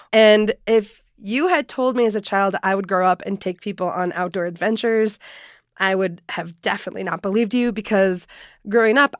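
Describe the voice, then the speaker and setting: An expressive woman in her late thirties or forties, an outdoor guide telling stories as a podcast guest. Her pitch sits mid-range, and the recording is dry and close, with no room echo. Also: clean tone. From born in Ecuador, raised in Minnesota. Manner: expressive, animated storyteller. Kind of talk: podcast interview, storytelling.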